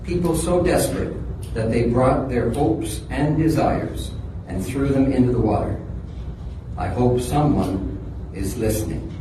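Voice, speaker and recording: Man performing reflective storyteller, church dramatic reading, heavy echo, clear background noise. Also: mid-range voice, unhurried, natural voice. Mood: wondering. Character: reflective birthday celebrant pondering wishes and others' troubles. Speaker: dramatic monologue reader. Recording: echoey hall from far off, noisy.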